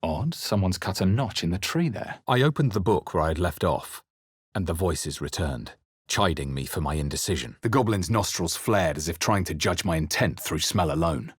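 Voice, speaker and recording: A battle-hardened hero of a fantasy video game, brooding and measured. The voice is fairly low and husky, recorded very dry and close. The delivery is dramatic, like a man who has seen many fights. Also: lively intonation, no room sound. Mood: grave, intent. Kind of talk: dramatic fantasy game voice lines and first-person narration.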